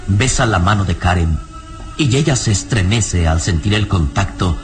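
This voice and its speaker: A man narrating a Spanish-language radio drama in a deliberate, theatrical scripted style. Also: sits low, lively intonation, over music.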